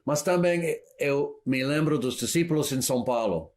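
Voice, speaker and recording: A man's voice: on the high side, moderate pace, a little mellow. Man interpreting a sermon, hesitant. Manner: hesitant, fillers and restarts while translating. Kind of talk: live sermon interpreting into Portuguese.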